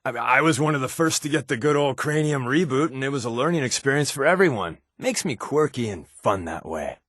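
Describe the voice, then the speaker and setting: A man's voice: medium pitch, expressive, a little fast, a bit bright, no echo, clean studio sound. A man playing an easygoing, wisecracking secret agent with hidden guilt in a video game, talking in a wry, casual, conversational way. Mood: sincere, casual. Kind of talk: video game companion dialogue.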